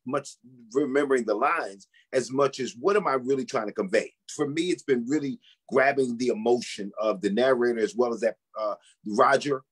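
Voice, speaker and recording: A middle-aged man, a pastor, speaking conversationally as an interview guest with a husky voice. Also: medium pitch. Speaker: pastor, interview guest and novice stage actor.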